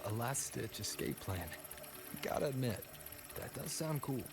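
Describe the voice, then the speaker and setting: A man's voice: mid-range voice, animated, measured pace, creaky. A man playing a keen, inquisitive young adventurer in a video game, with a casual way of talking. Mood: intrigued. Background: loud game music.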